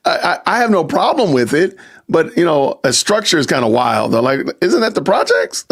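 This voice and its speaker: A man hosting a live stream podcast, talking casually to his chat and repeating rhetorical questions. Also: high-pitched. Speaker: podcast host.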